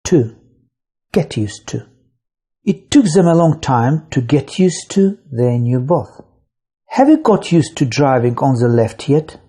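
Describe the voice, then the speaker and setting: This is a husky, mellow voice reading a grammar lesson at a slow, deliberate pace. The pitch moves a lot between phrases. It sounds like a scripted voice-over for an online course. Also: expressive. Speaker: English teacher.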